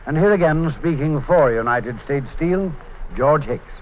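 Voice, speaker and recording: A man hosting a radio program, giving crisp, formal introductions. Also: fairly high pitch, expressive, measured pace, a little hiss or hum. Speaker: program host. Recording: dull lo-fi 1950s radio broadcast.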